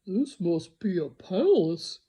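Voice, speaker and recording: A woman's voice: on the low side, very animated, takes it slowly, dark tone, husky, pitched below the performer's own voice, exaggerated voice. A woman voicing a small, innocent, wistful toy bear in a children's storybook. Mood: awed, wistful.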